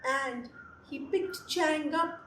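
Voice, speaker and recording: High-voiced woman storyteller in an echoey room. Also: slow pace, fairly bright tone. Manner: repeats phrases for effect, addresses listeners directly. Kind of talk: animated oral folktale retelling for children. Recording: echoey room with background noise.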